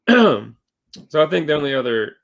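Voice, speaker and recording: Man, gravelly voice, a bit hoarse, casual podcast co-host, loose chatty delivery. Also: on the high side, sing-song, slow. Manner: conversational, filler-heavy, self-correcting. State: slightly losing his voice. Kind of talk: casual sports chat.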